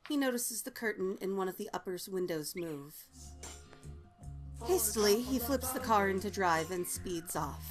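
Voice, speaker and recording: A woman's voice: mid-range voice, expressive, moderate pace, over music. A woman narrating stage directions from a script at a table read.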